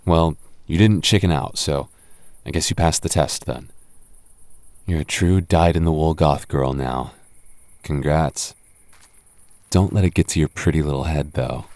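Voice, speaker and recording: Man, young adult, very low voice, boyfriend roleplay, teasing, stammers when nervous. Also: unhurried, pauses often, darkish tone, dry recording. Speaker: voice actor playing a goth boyfriend.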